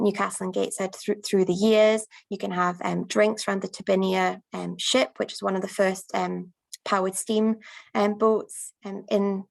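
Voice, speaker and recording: A woman promoting her city to event planners in an unscripted talk, speaking upbeat and filling pauses with frequent ums. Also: mid-range voice, vocal fry. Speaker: destination promoter.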